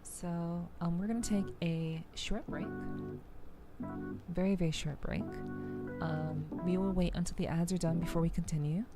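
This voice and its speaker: A woman hosting a soft-spoken ASMR game livestream, chatting to viewers and explaining things in a gentle, rambling way. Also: on the low side, fairly even intonation, normal speed. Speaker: streamer, host of a weekly live show. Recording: music playing behind the voice. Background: background music.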